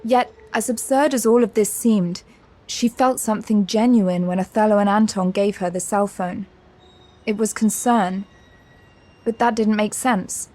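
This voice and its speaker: Woman narrating drama, medium pitch. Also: measured pace, dark tone.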